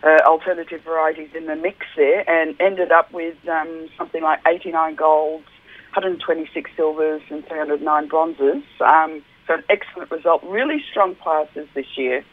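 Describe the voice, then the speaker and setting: A woman with a husky voice, a wine judge talking on the radio as a guest, enthusiastic and rambling with lots of fillers. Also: low-pitched, moderate pace. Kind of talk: casual radio phone interview. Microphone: telephone. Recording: narrow tinny phone line.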